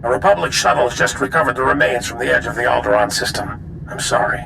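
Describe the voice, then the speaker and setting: Casting: grave sci-fi game general, very gravelly, terse and authoritative, over clear rumbling noise. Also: male voice, fast. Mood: somber. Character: grave, commanding male military general. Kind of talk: video game cutscene acting.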